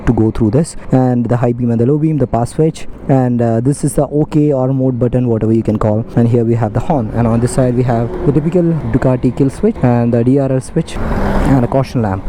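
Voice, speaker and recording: A man's voice: medium pitch, fairly quick, background noise. A man with a bad cold, reviewing a motorcycle in an enthusiastic, casual way, outdoors while riding. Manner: enthusiastic, exclaims often.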